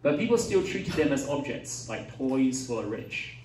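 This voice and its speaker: A man's voice: mid-range voice, sing-song, normal speed, darkish tone, recorded from a distance, a little hiss or hum. A man in his forties from Taiwan, a pastor giving a talk to students, hesitant with many fillers, chatty like a storyteller. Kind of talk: lecture to students. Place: university lecture hall. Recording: roomy hall, voice from off mic.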